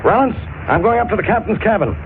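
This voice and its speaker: Blustering, high-pitched police inspector. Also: male voice, animated, fast talker, vocal fry. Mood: irritable. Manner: blustering, suspicious, demanding. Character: gruff, irritable male police inspector. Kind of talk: 1940s radio acting. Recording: noisy, tinny 1940s radio recording.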